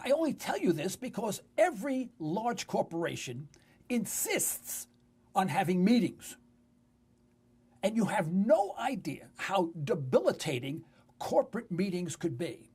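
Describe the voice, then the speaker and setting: An older man in his sixties with a New York accent speaks as a talk radio host. His voice is rough and husky, swinging widely in pitch as he tells personal stories. He phrases things emphatically and repeats words for weight. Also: darkish tone. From New York City. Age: 60s. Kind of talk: conversational radio monologue with personal storytelling.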